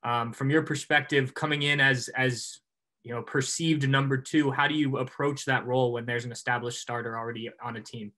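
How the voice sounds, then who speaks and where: Bright-voiced reporter with a flat delivery. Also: male voice, mid-range voice, a little fast. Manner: methodical follow-up question. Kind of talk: press conference question.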